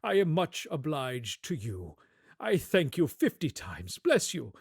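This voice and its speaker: A man's voice: on the high side, a little slow, creaky, breathy edge, dry recording, studio quality, full character voice. A man voicing an elderly reformed miser, giddy with joy and generosity, in an effusive, theatrical storybook radio-play style. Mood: warmly courteous. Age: older. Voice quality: excitable, exclaiming, breaking into chuckles. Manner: effusive, courteous, old-fashioned British phrasing.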